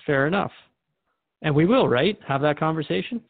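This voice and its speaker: A man's voice: fairly high pitch, lively intonation, normal speed, no echo. A man playing a thoughtful, mildly skeptical radio interviewer in a scripted drama, speaking in a reflective, questioning way. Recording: narrow phone-like band, dry.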